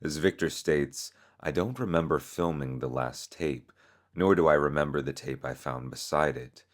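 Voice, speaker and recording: A man's voice: very deep voice, moderate pace. A man reading scripted story narration as a narrator.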